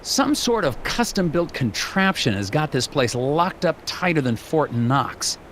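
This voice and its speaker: A man playing an earnest, awkward young FBI agent in an animated game, polite and hesitant with a deadpan streak. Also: a little high, a little slow, a little hiss or hum. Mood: musing, deadpan. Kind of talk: animated adventure game dialogue and dictated notes.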